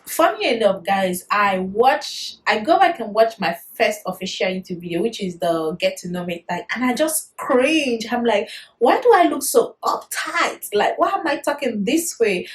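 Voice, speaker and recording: A woman in her thirties with a Nigerian accent, chatting to camera in a casual vlog, animated and quick to laugh. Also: medium pitch. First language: Yoruba. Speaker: vlogger.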